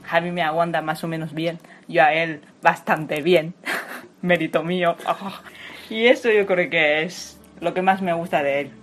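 A woman's voice: lowish voice, fairly even intonation, a little slow, faint music behind. A woman in her twenties from China, a sports journalist, speaking as a non-native in a thoughtful interview with touches of humor. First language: Chinese. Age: 20s. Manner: thoughtful, searching for words, light humor. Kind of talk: personal interview.

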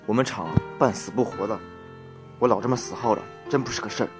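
A man's voice: fairly low pitch, fast, lightly acted. A man playing a laid-back, teasing working-class husband with plans, in a radio drama. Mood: earnest, persuasive. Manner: offhand, dryly teasing. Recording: amateur radio drama over loud music.

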